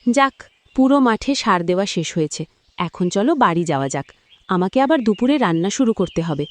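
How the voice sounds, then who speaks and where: An actress playing a busy, practical farmer's wife in a cartoon. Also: female voice, mid-range voice, animated, slight background noise, natural voice. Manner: brisk, practical. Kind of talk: cartoon voice acting.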